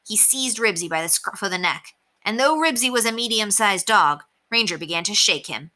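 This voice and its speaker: A woman, a teacher, reading a children's chapter book aloud with expressive storytelling. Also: medium pitch, normal speed. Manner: expressive storytelling with softer narration.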